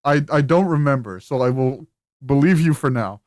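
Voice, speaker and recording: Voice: mid-range voice, animated, normal speed, a little mellow, no room sound, studio quality. A person doing casual playthrough commentary on a video game, reading character dialogue aloud. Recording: game music behind the voice.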